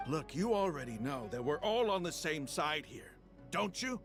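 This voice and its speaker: An actor playing a frank, persuasive desert mercenary leader in a dramatic video game scene, earnest and argumentative. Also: male voice, a little high, big pitch swings, normal speed. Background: music.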